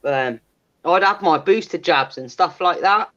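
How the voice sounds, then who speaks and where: A woman's voice: lowish voice, measured pace, fairly bright tone, highs cut off. A woman, chatting candidly in a blunt, sweary, emphatic way. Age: 30s. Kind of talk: candid casual chat.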